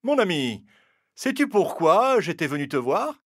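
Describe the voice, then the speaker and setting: Sly cartoon fox, high voice, swooping intonation, wheedling, theatrical, drawn-out phrasing. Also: male voice, very animated, no room sound, clean studio sound. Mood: flattering. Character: sly, cunning male fox, smooth-talking flatterer. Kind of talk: animated fable character acting.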